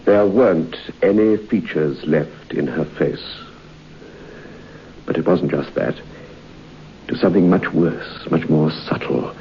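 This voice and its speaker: A low-pitched man tells a grim story in a grave, measured way. It sounds like a vintage radio drama, with a muffled, lo-fi tone and faint hiss. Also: gravelly. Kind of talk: 1950s radio drama acting. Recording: dull old radio broadcast with hiss.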